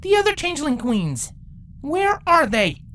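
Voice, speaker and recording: A man's voice: very high voice, huge pitch swings, measured pace, pushed and bright, pitched above the performer's own voice, heavily acted. A man voicing a commanding, agitated female changeling queen in a storybook reading, with noise behind the voice. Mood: agitated demand.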